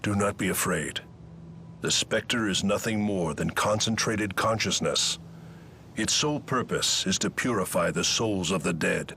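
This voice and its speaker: A man's voice: low-pitched, unhurried, sharp tone, slight background noise, heavily acted. An actor playing a grave, steadfast, stoic male elemental spirit in a video game, with a formal, stately delivery. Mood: grave, explanatory. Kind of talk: dramatic video game voice acting.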